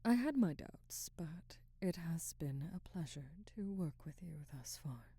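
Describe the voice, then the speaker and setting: A woman playing a haughty, guarded middle-aged Hollywood actress in an ASMR roleplay, speaking formally in a soft, breathy voice that often nears a whisper. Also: deep voice, sing-song, no room sound, clean studio sound, heavily acted. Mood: softening, sincere. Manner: formal, measured, aloof phrasing. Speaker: ASMR voice actor. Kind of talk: ASMR roleplay, scripted dramatic monologue.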